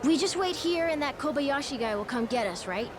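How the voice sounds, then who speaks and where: A woman's voice: sits high, animated, brisk, background noise. A woman voicing a cheeky, outspoken young sidekick of a pirate captain, informal in manner. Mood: uneasy. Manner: informal, chiding.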